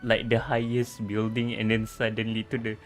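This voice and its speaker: A woman's voice: a bass voice, monotone, normal speed. A woman streamer, chatting bluntly while playing a game and reacting with mild swearing. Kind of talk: casual game commentary. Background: game music.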